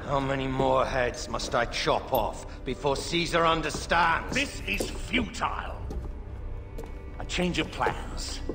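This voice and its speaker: With music clearly underneath, a ruthless military villain in a video game drama. He talks very slowly, menacing and scornful. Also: mid-range voice.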